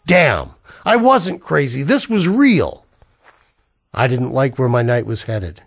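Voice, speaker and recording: A man's voice: mid-range voice, sing-song, slow pace, vocal fry, breathy edge, heavily acted. A man playing a wary, hard-up army-veteran husband in a radio drama, with a sardonic, colloquial delivery. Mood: alarmed. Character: broke, wary army-veteran husband narrating his noir misadventure. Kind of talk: old-time radio drama acting and first-person narration.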